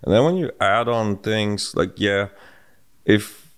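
A middle-aged man with a Swedish accent, co-hosting a podcast in casual conversation, reflective and halting with restarts, recorded on a studio mic in a home room. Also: on the low side, clear, resonant tone. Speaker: podcast co-host. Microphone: boom-mounted studio mic.

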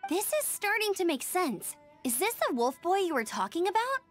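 A woman's voice: very high voice, sing-song, normal speed, faint music behind. A woman voicing a dutiful, assertive young knight in a video game, articulate and questioning. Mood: alert, questioning. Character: energetic, dutiful young female knight, firm, suspicious.

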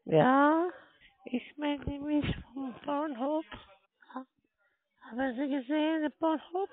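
A woman talks on a phone call at a slow pace. Her voice is high, and the line is thin and narrow. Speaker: phone caller. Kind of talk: phone conversation.

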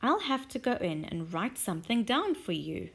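A woman voicing a shy, lovesick older man who speaks in a lower, soft and solemn way. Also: big pitch swings, normal speed, gravelly, slightly rough, heavily acted. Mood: earnest.

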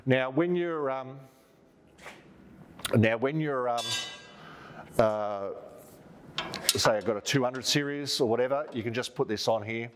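A man's voice: mid-range voice, a little slow, pauses often, noisy background. A man in his fifties with an Australian accent, giving a casual, conversational product walkthrough as a presenter. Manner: informal, conversational, checking in with "right?". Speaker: presenter of outdoor gear product videos. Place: large hard-walled showroom, indoors. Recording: echoey showroom, long reverb.

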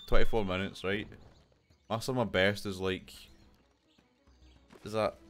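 Young man, low-pitched, casual game stream chatter, joking at himself, desk mic at home, game music behind. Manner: self-mocking, chatty, laughs often. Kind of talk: casual live commentary over gameplay. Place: home room.

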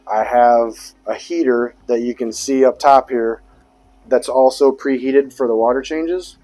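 A man's voice: mid-range voice, darkish tone. Man explaining his basement tank setup. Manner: explanatory, matter-of-fact, detailed. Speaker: fish tank hobbyist giving a tour. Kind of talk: casual walkthrough tour. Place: basement pump room.

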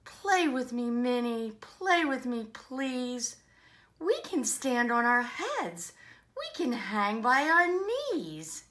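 A playful young boy monkey from a storybook, read out by a woman. He is bossy and pleading, with a bright, high voice. The lines come at an unhurried pace with an emphatic, coaxing rhythm. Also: very animated, exaggerated voice. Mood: pleading, eager. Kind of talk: children's storybook read-aloud.

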